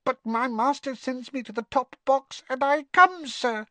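A man voicing a tiny, timid female house elf servant with a quivering squeak and deferential, broken grammar. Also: a little slow, pushed and bright, dry recording, heavily acted. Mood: dutiful. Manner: broken grammar, deferential, constantly says sir.

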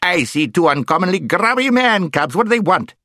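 A man playing an old, irascible, hard-of-hearing dwarf in a storybook, loud, blustering and indignant. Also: high voice, big pitch swings, fairly quick, no echo, studio quality, pitched above the performer's own voice, heavily acted. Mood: irascible, baffled.

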